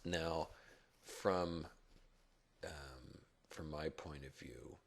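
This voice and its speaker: A man with a rough early-morning voice, giving halting, reflective, unscripted commentary on stock charts in a casual way. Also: a bass voice. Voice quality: rough, gravelly, husky. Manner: halting, reflective, thinking aloud. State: rough early-morning voice, not yet warmed up. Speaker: stock market blogger and chartist.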